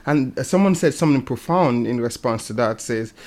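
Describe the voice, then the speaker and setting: A man's voice: medium pitch, lively intonation, brisk, darkish tone. Man talking hesitantly about faith. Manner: hesitant, repeating words as he thinks. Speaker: panelist on a religious programme. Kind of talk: casual faith discussion.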